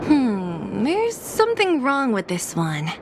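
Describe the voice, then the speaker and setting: A woman playing a wry, alert young girl companion in a game, with an expressive delivery. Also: fairly high pitch, slow, background noise, full character voice. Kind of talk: scripted game cutscene dialogue.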